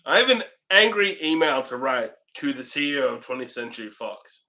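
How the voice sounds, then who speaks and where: A man's voice: medium pitch, sing-song, a little fast, sharp tone. A man co-hosting a movie review show in casual chat.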